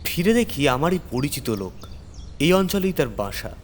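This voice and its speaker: A man narrating a first-person horror story theatrically, with suspenseful pauses and repeated words. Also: on the high side, sing-song, a little hiss or hum.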